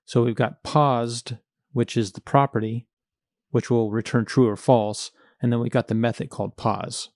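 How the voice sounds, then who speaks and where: Male coding instructor, mid-pitched with noticeable vocal fry, informal and hands-on, close dry sound. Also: fairly even intonation, normal speed, darkish tone, dry recording. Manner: informal, thinking aloud while working. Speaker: programming tutorial instructor. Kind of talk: step-by-step coding screencast narration.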